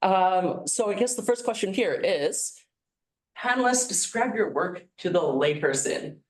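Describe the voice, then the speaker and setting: A man's voice: high-pitched, lively intonation, unhurried, rough recording. A man moderating a panel discussion, introducing speakers and asking questions in a casual way. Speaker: moderator, data scientist.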